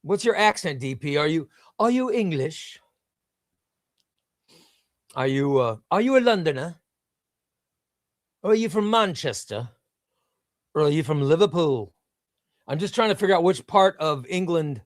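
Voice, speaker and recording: A man's voice: fairly high pitch, expressive, measured pace, pauses often, no room sound, studio quality. A man hosting a casual livestream, reading viewer comments aloud and gushing with enthusiasm, often repeating himself. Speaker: livestream host.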